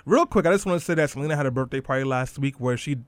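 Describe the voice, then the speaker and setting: A man's voice: medium pitch, racing, no echo, studio quality. A man with a New York accent, a slangy radio co-host in casual banter. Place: radio studio.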